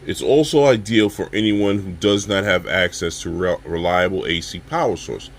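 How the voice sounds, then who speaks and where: A man with a low voice talks through a product in a casual, halting way, addressing viewers directly as a reviewer. There is clear steady noise behind him, like a room hum.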